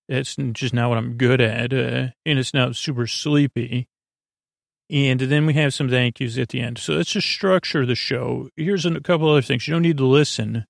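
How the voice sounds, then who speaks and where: A man hosting a bedtime sleep podcast in a meandering, halting monologue, interrupting himself with false starts, droning gently and reassuringly. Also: gravelly, no echo, clean studio sound. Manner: low-pressure, reassuring, droning on purpose.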